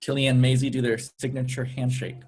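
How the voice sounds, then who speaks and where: Man narrating script stage directions, medium pitch. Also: level tone, a little fast, a little mellow. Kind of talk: scripted narration at a table read.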